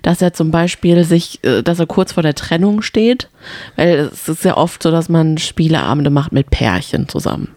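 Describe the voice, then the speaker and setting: A woman's voice: low voice, expressive, moderate pace, a little mellow, no room sound, clean studio sound. A woman chatting casually as a podcast co-host.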